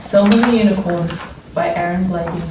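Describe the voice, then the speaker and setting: A woman teacher reading a storybook aloud to young children, expressively and deliberately, pausing to wonder aloud about it. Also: on the low side. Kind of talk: expressive children's read-aloud with think-aloud explanations. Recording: echoey room, distant mic, faint noise.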